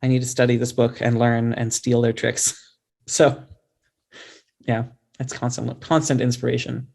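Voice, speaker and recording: Male guest author, mid-pitched, conversational, thoughtful and self-deprecating in an informal interview. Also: flat delivery, a little slow, vocal fry, a little mellow.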